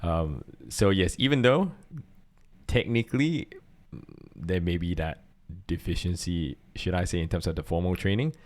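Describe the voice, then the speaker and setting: Low-voiced Singaporean man, earnest. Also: normal speed. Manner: earnest, thinks aloud with restarts. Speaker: podcast co-host. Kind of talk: casual chat.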